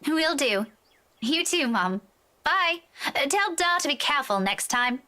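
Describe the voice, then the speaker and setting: A woman's voice: high-pitched, lively intonation, measured pace, pushed and bright, voice pushed up, heavily acted. A woman voicing a dutiful young adult daughter in a visual novel, light and reassuring. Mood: light, agreeable.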